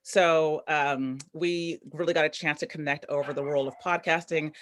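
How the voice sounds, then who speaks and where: A woman's voice: deep voice, steady tone, moderate pace, a bit bright, slight background noise. A woman hosting a live online storytelling event over a video call, upbeat and chatty with loose, rambling phrasing. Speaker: host.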